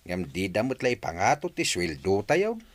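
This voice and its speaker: An actor playing a friendly, wistful older workmate, folksy and animated, in a radio drama. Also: male voice, deep voice, moderate pace, no echo, heavily acted. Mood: wistful grumbling. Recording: faint background noise, clicks.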